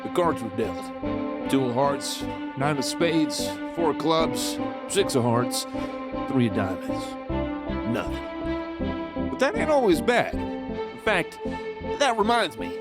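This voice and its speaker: A man's voice: a little high, big pitch swings, takes it slowly, pauses often. A man playing a sly, cocky Old West outlaw who narrates his own tale in a folksy, teasing, conversational way. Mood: sly, confident. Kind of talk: first-person storytelling narration in audio drama. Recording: loud music under the voice.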